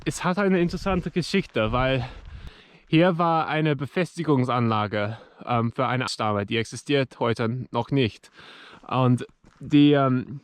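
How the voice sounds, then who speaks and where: A man whose native language is English, talking haltingly with many fillers as he vlogs from a mountain trail. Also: mid-range voice, clean tone, dry recording. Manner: halting non-fluent speech with many fillers. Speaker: hiking vlogger. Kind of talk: casual walking vlog. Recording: outdoor ambient noise.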